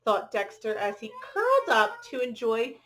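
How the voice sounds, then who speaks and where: A woman's voice: fairly high pitch, very animated, normal speed, a bit bright. A woman reading a children's picture book aloud expressively, adding chatty asides and drawing listeners in with questions and exclamations.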